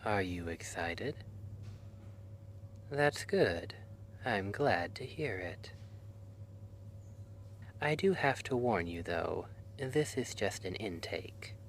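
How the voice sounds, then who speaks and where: Man playing a slow, soft-spoken dream clinician. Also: low-pitched, lively intonation, a little hiss or hum, heavily acted. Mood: reassuring, explanatory. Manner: soft-spoken, slow, soothing, often dropping to a whisper. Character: gentle, reassuring male dream-institute clinician guiding a trial patient. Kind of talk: ASMR roleplay.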